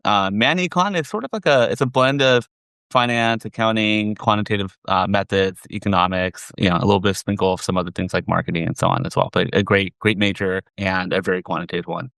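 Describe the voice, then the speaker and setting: A middle-aged man with an American accent, giving measured, explanatory answers as an interview guest. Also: sits low, fairly even intonation, creaky, fairly bright tone, no room sound. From southern California, USA. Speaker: interview guest, finance executive.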